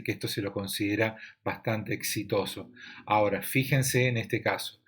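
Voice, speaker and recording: Argentine guy, lowish voice, explaining medical tech off slides, lots of "eh"s. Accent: Argentine Spanish. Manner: explanatory, steady, with frequent fillers. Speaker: lecturer at a medical imaging congress. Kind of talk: technical presentation over slides.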